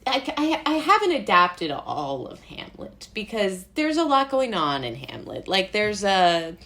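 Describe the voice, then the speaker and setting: A woman's voice: mid-range voice, very animated, a little slow, a bit bright. An American woman from Brooklyn talking casually as an interview guest, informal, rambling, amused and self-deprecating. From Brooklyn, United States.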